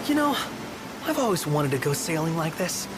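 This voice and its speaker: An actor playing an excitable, chatty young man in a video game, eager and informal. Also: on the high side, moderate pace, background noise. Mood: awed, excited. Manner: eager, informal, wide-eyed. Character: boyish, excitable, chatty young travelling companion. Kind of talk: video game cutscene acting. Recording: voice over loud game music.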